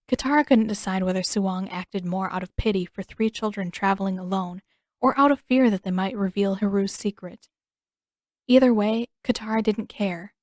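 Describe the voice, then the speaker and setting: A woman's voice: medium pitch, darkish tone, no echo, studio quality. A woman reading scripted fiction as an audiobook narrator.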